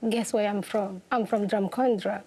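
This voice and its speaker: A woman's voice: medium pitch, steady tone, moderate pace, dark tone, slightly rough. A young woman from Somalia, speaking earnestly as an interview guest. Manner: earnest, simple phrasing, laughs easily. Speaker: guest, activist. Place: television studio.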